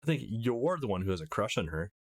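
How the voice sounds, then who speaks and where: A man voicing a mild, low-key teenage friend in a visual novel, curious, hesitant and easily flustered. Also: sing-song, quick pace. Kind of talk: reading visual novel dialogue aloud.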